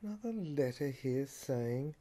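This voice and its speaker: Male actor as police officer, slow pace, halting, muttering, dry and muddled, amateur audio drama dialogue. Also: medium pitch, animated, mellow. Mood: studious, halting. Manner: halting, muttering, often clearing throat.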